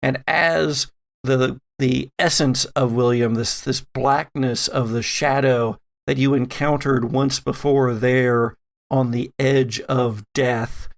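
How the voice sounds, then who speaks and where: Gravelly man narrating a tabletop game, unhurried. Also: mid-range voice, steady tone. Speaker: game master. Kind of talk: dramatic narration of a tabletop role-playing game.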